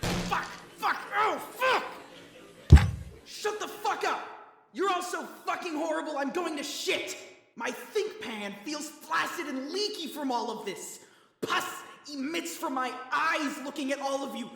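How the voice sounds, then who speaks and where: Performer as hateful, sweary young cartoon troll; high, gritty voice, reverberant sound. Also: expressive, a little slow, vocal fry, heavily acted. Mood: furious. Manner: cursing, ranting. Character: irritable, foul-mouthed young troll, exasperated and hateful. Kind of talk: comic fan dub voice acting. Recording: roomy, long hall reverb.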